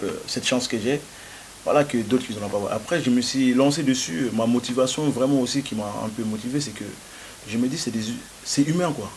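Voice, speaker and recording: A man's voice: mid-range voice, darkish tone, poor audio. Hesitant young man, faint hiss. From France. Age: young adult. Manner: halting, many hesitations and restarts. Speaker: interviewee. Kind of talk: interview answers.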